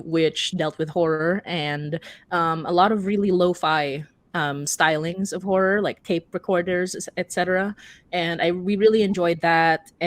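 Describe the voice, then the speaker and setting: A woman's voice: on the low side, dry recording. A young woman speaking casually as a panelist, explaining things with little acted-out asides. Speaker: podcast creator and panelist.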